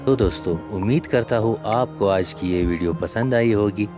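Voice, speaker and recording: A man's voice: on the low side, normal speed. This is a man. He narrates a garden tour for his video channel from a prepared script. Music plays behind his voice-over.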